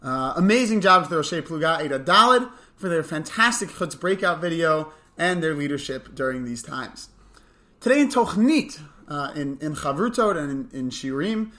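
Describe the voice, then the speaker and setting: A man's voice: on the high side, animated, moderate pace, sharp tone. A man co-hosting a morning show, giving casual, unscripted announcements with wry, joking asides. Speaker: camp morning show co-host.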